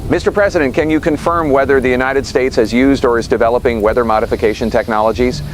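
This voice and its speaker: A man's voice: medium pitch, steady tone, fast, slight background noise, lightly acted. A man playing a formal, direct news reporter putting a pressing question at a press conference in an audio drama. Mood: probing.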